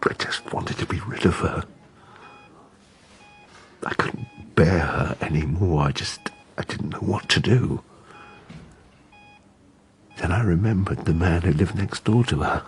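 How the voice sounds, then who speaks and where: An actor playing a weak, self-pitying adulterous husband in a radio drama, confessing to murder in a halting murmur. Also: male voice, low-pitched, slight background noise. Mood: anguished confessing.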